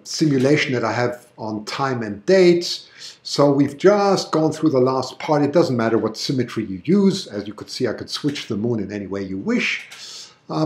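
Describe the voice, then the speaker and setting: A man's voice: medium pitch, darkish tone, roomy sound. A man from Germany with a mixed international accent, hosting a live eclipse stream from his backyard at night, talking in an enthusiastic, chatty way and often restarting his words. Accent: mixed international with German roots. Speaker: livestream host showing an eclipse. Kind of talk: live commentary.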